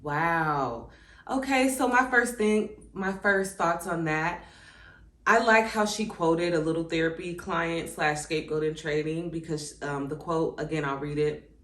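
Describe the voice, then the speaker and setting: A woman in her thirties talks to her viewers in a low, easygoing voice, reading a passage and then reflecting on it in her own words. She fills her pauses with "um" and "like." The sound has a noticeable room echo. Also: a bit bright. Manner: conversational, reflective, many "um" and "like" asides. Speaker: channel host. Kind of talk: casual reflective vlog, reading from a workbook. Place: indoors at home, seated. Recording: echoey room.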